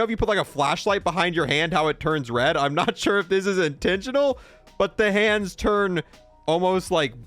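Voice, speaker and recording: Casting: male gaming video host, notably high voice, emphatic casual review delivery. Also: a bit bright. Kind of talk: casual game review commentary.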